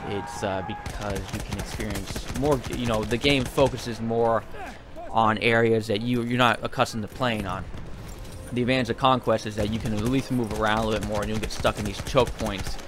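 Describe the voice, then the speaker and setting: A man's voice: lowish voice, fairly even intonation, brisk. A man giving casual video game commentary in a rambling, hesitant way, often repeating himself.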